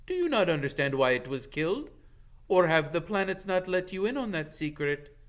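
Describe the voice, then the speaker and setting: A noble centaur, acted out by a man reading a storybook, pitched well up high with sweeping intonation. The speech is formal and measured, grave and earnest. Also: very animated, a bit bright. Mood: defiant anger. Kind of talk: dramatic audiobook character reading.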